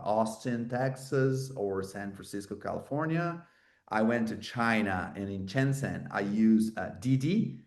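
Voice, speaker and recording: A man who is a native Portuguese speaker, explaining his points conversationally as an interview guest. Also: fairly low pitch. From Brazil or another developing Portuguese-speaking country. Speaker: guest expert and author.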